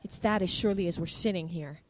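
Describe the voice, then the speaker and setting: A treacherous young woman, voiced by an actress, hesitant and breathy as she pleads for help. Her sentences stall and restart. A long reverb trails behind her voice. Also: on the low side, quick pace, a little hiss or hum, close to an everyday voice. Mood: anxious.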